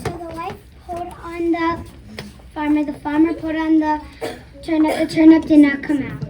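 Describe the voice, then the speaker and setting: Child narrator for readers' theater, high voice, halting read, recorded muffled from afar. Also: little pitch movement, background noise. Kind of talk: scripted story narration, reading aloud. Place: school event, mic at a distance. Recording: muffled, distant microphone.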